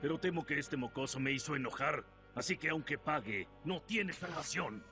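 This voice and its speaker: Sneering, menacing bandit boss. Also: male voice, on the high side, lively intonation, moderate pace. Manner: threatening, mocking, bursts into cackling and shouting. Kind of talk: Spanish anime dub acting. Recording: loud music behind the voice.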